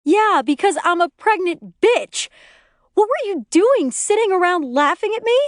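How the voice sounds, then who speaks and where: A woman voicing a hysterical, jealous pregnant wife in an audiobook, screaming shrill accusations. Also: wildly swooping pitch, moderate pace, a bit bright, no echo, clean studio sound. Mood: angry, accusing. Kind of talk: acted audiobook dialogue.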